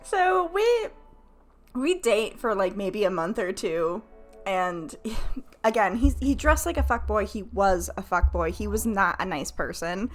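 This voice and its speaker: A woman's voice: medium pitch, lively intonation, a little slow, faint music behind. A woman in her late twenties telling stories casually to livestream viewers, candid and self-mocking, with crude humor and free swearing. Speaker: game streamer.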